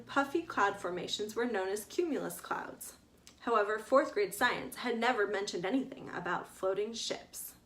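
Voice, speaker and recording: A woman author reading her adventure story aloud as an expressive narrator. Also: fairly high pitch.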